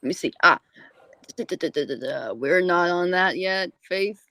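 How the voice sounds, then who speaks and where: A woman's voice: fairly low pitch, normal speed, bright, forceful tone, no echo. A woman hosting an interview podcast, asking questions in an informal, chatty way. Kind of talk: casual interview chat.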